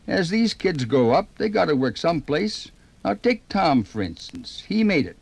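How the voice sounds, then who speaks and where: A man's voice: fairly high pitch, big pitch swings, moderate pace, vocal fry, a little mellow, no echo, exaggerated voice. An actor playing a folksy, rambling older small-town man, narrating a 1950s radio program. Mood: folksy, musing. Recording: 1950s radio record, music behind.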